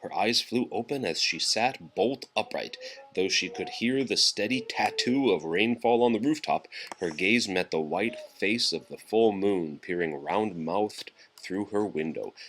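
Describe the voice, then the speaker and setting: Low-voiced man reading a children's storybook. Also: measured pace, fairly bright tone, poor audio. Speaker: parent or adult reading a picture book aloud to a young child. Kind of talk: read-aloud children's storybook narration.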